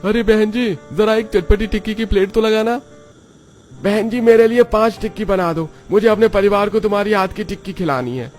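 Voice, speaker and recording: A man voicing an excitable, complaining male street-food customer in a cartoon, exclamatory and indignant. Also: high-pitched, moderate pace, faint music behind, voice pushed up, lightly acted. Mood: eager.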